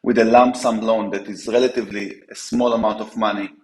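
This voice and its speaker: Man with an Israeli accent, lowish voice, halting, lots of fillers, echoey room. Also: level tone. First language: Hebrew. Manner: halting, frequent fillers and restarts. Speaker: company co-founder guest. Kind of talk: business interview.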